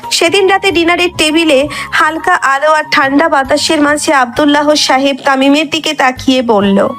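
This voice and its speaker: High-voiced woman narrating a story over music. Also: moderate pace, fairly bright tone. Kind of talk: scripted dramatic story narration. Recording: background music under the voice.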